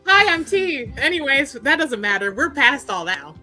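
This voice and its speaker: A woman playing in a tabletop game stream, moving between casual chat and roleplay with expressive, dramatic emphasis. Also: sits high, moderate pace. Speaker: player in an actual-play tabletop show. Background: music playing underneath.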